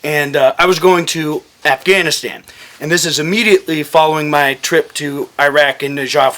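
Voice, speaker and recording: A man telling casual war stories as a military veteran, animated and swearing freely, acting out quoted dialogue. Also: a little high. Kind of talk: casual storytelling, profane.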